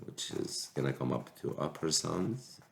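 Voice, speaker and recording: Very deep, gravelly man with a cold. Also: expressive. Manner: slow, halting explanation with restarts. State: sick with a cold. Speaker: math teacher. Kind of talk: recorded calculus lecture.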